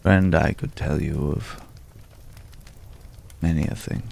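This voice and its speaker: A man acting a mysterious, courtly, world-weary man in an intimate, hushed ASMR roleplay, with florid, old-fashioned, pensive phrasing and a gravelly voice. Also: extremely low pitch, steady tone, slow, full character voice. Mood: wistful, pensive.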